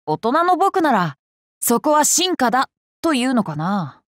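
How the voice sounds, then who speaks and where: A woman's voice: high-pitched, very animated, unhurried, no room sound, clean studio sound. A woman voicing a cheerful, curious boy king for an anime game, boyish and polite, with playful self-assurance. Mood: friendly, easygoing. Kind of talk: Japanese anime game character lines.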